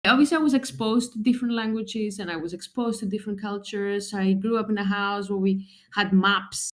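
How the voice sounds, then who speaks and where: A woman from Greece in her thirties, a guest in an interview talking about her work, animated and chatty, with fillers, restarts and frequent laughs. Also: mid-range voice, brisk. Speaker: guest, translator and travel writer. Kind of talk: conversational interview.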